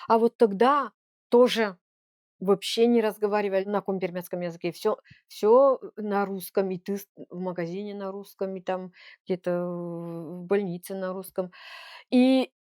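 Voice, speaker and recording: A woman's voice: mid-range voice, fairly even intonation, moderate pace, darkish tone, dry recording, clean studio sound. A woman speaking Russian with a Komi-Permyak accent, a native Komi-Permyak speaker, giving earnest, heartfelt interview answers as a children's folk ensemble leader.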